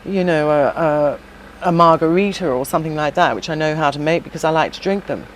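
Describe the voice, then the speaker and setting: Low-voiced chatty woman, interview guest. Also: fairly quick, slight background noise, low-quality recording. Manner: chatty and warm, many fillers and restarts. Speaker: podcast guest, shop owner and former singer. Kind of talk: casual interview chat.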